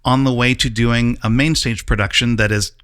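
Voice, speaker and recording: A man's voice: mid-range voice, steady tone, moderate pace, a bit bright, clean tone, dry recording, studio quality. A man hosting a conversational interview and putting questions to his guests. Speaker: interviewer, host.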